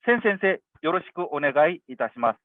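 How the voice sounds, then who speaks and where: Fast formal male announcer, phone-like sound. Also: on the high side, very animated, dry recording. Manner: rapid, polite, formal. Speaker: seminar announcer. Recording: tinny phone-like line, dry.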